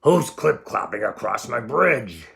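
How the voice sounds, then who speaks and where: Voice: mid-range voice, big pitch swings, unhurried, creaky, a bit bright, full character voice. Someone putting on a roaring, greedy old troll. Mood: roaring, threatening. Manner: roaring threats, muttering when scheming. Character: hideous, stinky old male bridge troll, greedy bully.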